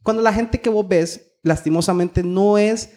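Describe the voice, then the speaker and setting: A man's voice: high-pitched, a little slow, warm tone. A man with a Honduran accent chatting casually as a podcast co-host, swearing and using plenty of slang. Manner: profane, slangy. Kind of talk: casual chat.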